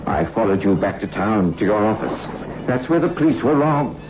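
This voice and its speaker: A man acting a tense, earnest accused man in a 1960s radio thriller. His low voice swings widely as he insists, in formal phrasing. The recording is old and muffled. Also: animated, normal speed, noisy background, natural voice. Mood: accusing, resolute.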